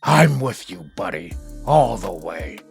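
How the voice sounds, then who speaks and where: A man acting in a comic dub as a gruff, opinionated, street-weary character, gravelly and husky, with an emphatic, sardonic delivery. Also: low-pitched, sing-song, slow pace, faint music behind, heavily acted. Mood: fervent, defiant.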